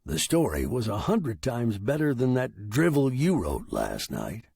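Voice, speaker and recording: A man voicing a bossy, mocking talking typewriter in a story, with a curt, scornful, deliberate delivery. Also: mid-range voice, huge pitch swings, vocal fry, a little mellow, husky, no room sound, clean studio sound, heavily acted.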